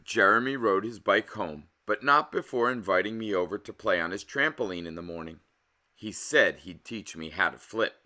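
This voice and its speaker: Man, lowish pitch, very bright forceful voice, expressive storybook read-aloud, animated emphasis. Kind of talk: expressive children's picture-book read-aloud.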